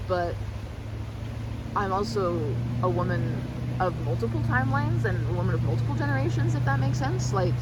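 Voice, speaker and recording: A woman in her thirties with an American accent, rambling in a casual, animated and playfully theatrical way as a chatty best friend in an ASMR roleplay. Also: mid-range voice, very noisy. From United States. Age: 30s. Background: river park ambience with water and vehicle sounds.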